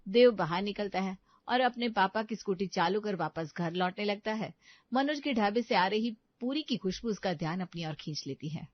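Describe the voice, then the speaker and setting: A woman's voice: mid-range voice, lively intonation, normal speed, dry recording, highs cut off. A woman reading scripted narration for a comic family story.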